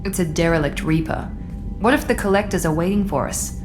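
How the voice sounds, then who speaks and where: Woman playing a brisk, cautious officer. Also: lowish voice, music in the background, lightly acted. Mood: wary. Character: cautious, serious female starship officer. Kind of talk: scripted game dialogue.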